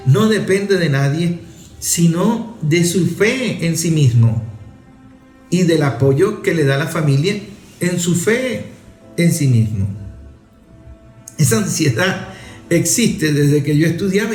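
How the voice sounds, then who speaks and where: A man with a Venezuelan Spanish accent speaks as a journalist host, building his points through emphatic, repeated phrases. His voice sits a little higher than most men's. It carries a roomy echo with faint music underneath. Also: a little mellow. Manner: emphatic, repetitive rhetorical phrasing. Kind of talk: political opinion commentary.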